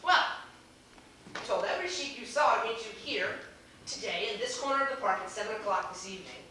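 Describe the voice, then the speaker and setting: A woman playing a flustered, indignant young man in an amateur stage comedy, with halting, formal, exasperated speech. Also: medium pitch, animated, crowd noise, natural voice. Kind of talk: amateur one-act comedy stage acting. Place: theater stage, recorded from far off. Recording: distant, echoey, noisy stage video.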